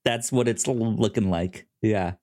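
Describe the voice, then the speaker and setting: A podcast co-host chatting casually about TV in a halting way, often repeating words mid-sentence. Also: medium pitch, darkish tone.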